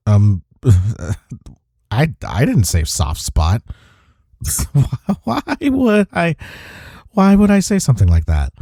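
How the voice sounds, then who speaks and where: A man playing a smitten office rival in an intimate romantic audio roleplay, with a husky, mellow voice and a halting, teasing manner. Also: mid-range voice, huge pitch swings, dry recording, clean studio sound, exaggerated voice. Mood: flustered. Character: flustered, smitten male office rival confessing attraction.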